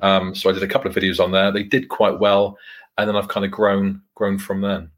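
Low-voiced, modest male guest. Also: monotone. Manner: measured, modest explaining with fillers. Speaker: guest, mortgage broker. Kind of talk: casual interview chat.

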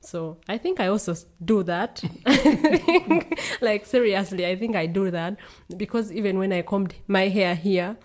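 A woman's voice: mid-range voice, expressive, a little slow, few pauses, darkish tone. A young woman from Uganda, a guest in a casual conversation, speaking earnestly and laughing easily.

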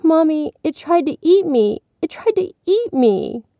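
Woman doing a high-pitched, frightened little boy. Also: expressive, normal speed, no echo, muffled recording. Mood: scared, pleading. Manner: pleading, childlike. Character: frightened small boy, timid but determined.

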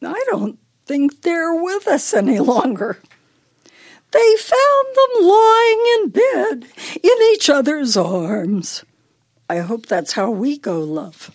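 Actress as a high-voiced, forgetful elderly wife. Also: female voice, big pitch swings, takes it slowly, full character voice. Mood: chiding, muddled. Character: forgetful elderly wife, playful, fretful, haunted by loss. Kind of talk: radio play acting.

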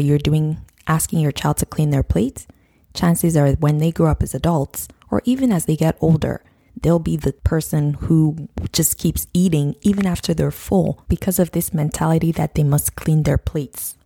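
A woman hosting a parenting podcast, talking straight to listeners while croaky from a cold. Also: low-pitched, normal speed, mellow. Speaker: podcast host, nutrition counselor. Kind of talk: scripted podcast intro, then casual talk to listeners.

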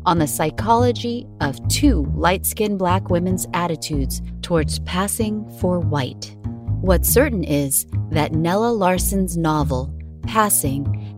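A woman reading a scripted podcast narration as its narrator, with measured, emphatic phrasing. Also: lowish voice. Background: music under the voice.

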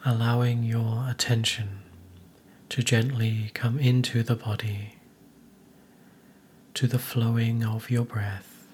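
A man narrating a guided meditation in a soothing, gentle, hushed manner with soft, breathy phrase endings. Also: medium pitch, flat delivery, slow pace, pauses often, slight background noise.

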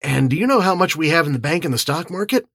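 A male narrator acts an indignant, confident man in an audiobook. He talks rapidly and briskly, with animated pitch and a husky edge. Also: no room sound, studio quality, heavily acted. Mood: amused, smug. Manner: brisk, affronted. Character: indignant, self-assured well-off man.

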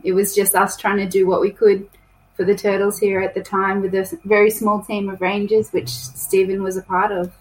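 A woman speaks as a podcast guest about conservation work, chatty and loose. She pauses often with ums and trips over a few words as she explains. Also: mid-range voice, rough recording. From New South Wales, Australia. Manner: chatty, with many ums and restarts. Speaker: conservation project coordinator. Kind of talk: casual podcast interview.

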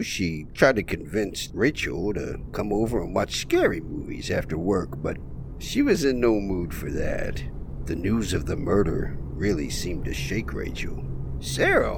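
Deep, gravelly storytelling gravedigger played by a man. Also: noisy background, full character voice. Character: male small-town gravedigger narrator of eerie tales. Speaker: narrator. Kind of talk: folksy scripted story narration.

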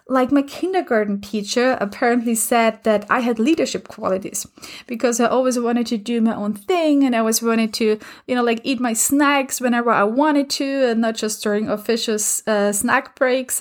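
Woman in her 30s, slightly high voice, hosts a solo podcast, thoughtful and filler-heavy. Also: a little mellow. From Austria. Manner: reflective, many fillers. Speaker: podcast host.

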